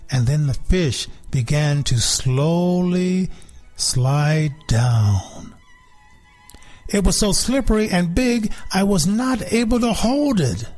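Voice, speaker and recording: Man playing sly contented house cat, children's storybook narrator, slightly high voice, deliberate delivery, faint music behind. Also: lively intonation, darkish tone, heavily acted. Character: sly, contented big house cat telling its own tale.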